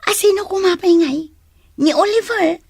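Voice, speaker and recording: A scornful, gossipy young cousin in a radio drama. She is blunt and high-pitched, recorded very dry. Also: moderate pace, a little mellow, dry recording. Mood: teasing. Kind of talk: Ilocano radio drama acting.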